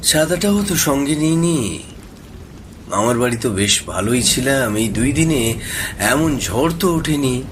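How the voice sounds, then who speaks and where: Timid young guy played by a man, slow and hesitant, horror audio drama, rain n thunder noise behind him. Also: medium pitch, expressive, natural voice. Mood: weary, uneasy. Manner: hesitant, stammering. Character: timid, hungry young man stranded on stormy night.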